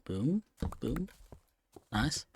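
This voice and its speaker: Slow, gravelly young man. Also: mid-range voice, big pitch swings, a little hiss or hum. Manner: rambling, thinking aloud with many fillers. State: slightly strained voice. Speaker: let's play gamer. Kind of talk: casual gameplay commentary.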